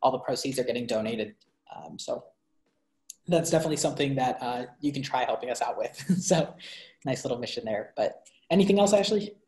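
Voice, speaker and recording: A man's voice: medium pitch, fairly quick. Young man on a roomy video call. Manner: rambling, many fillers and restarts; animated hand gestures. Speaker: book review co-host. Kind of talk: casual video-call chat. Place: bright room at home. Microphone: video call laptop. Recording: roomy echo.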